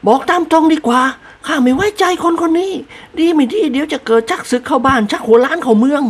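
A reader putting on the high, shrill voice of a sharp-tongued elderly noblewoman who scolds her servant in an audiobook. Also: fast talker, close to an everyday voice. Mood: scolding. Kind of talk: audiobook character voice.

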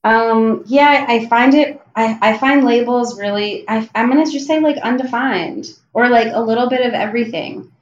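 Casual American woman guest, chatty and quick to laugh, heard in a roomy, slightly dull recording. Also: fairly high pitch. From New Jersey. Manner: chatty, many fillers, laughs easily. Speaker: podcast guest. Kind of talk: casual conversation.

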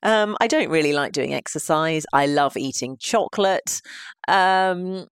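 Brief: London woman in her 30s, podcast co-host, smooth and lowish, dry close mic, easygoing and quick to laugh. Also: no echo. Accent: London English. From London, England. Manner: chatty, laughs often. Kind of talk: casual podcast chat.

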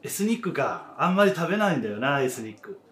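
A man's voice: a little high, big pitch swings, quick pace, a bit bright. A man in his thirties playing a supportive, frank old friend in a radio drama, talking in a casual, encouraging way. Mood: upbeat. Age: 30s. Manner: casual, plain-spoken, encouraging.

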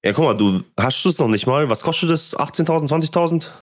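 A man's voice: a little high, lively intonation, a little fast. A man with a stuffy nose from a cold, co-hosting a podcast and teasing and pushing back in casual chat. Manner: teasing, skeptical, pushes back. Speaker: podcast co-host.

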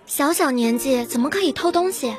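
A woman's voice: high-pitched, lively intonation, a little fast, a crowd in the background. A woman playing a kindly, protective widow with a firm, caring manner in an audio drama. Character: protective, kindly widow rescuing a street child. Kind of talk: Chinese audio drama acting.

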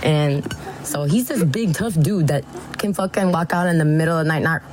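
Giggly low-voiced woman leaving a voicemail. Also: moderate pace, darkish tone, slight background noise. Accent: Texan Chicano English. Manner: giggly, swears freely. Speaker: voicemail caller. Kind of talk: casual storytelling voicemail.

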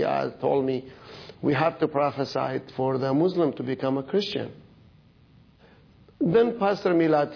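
A man from Iraq giving a personal testimony at a church service, speaking halting with frequent fillers and restarts. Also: mid-range voice, gravelly, breathy edge, highs cut off. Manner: halting non-native English with fillers and restarts.

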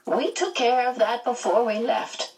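Woman putting on a high, motherly old otherworldly lady. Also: expressive, a little slow, darkish tone, recorded from a distance. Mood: cheerful, soothing. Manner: fussy, reassuring, rambling.

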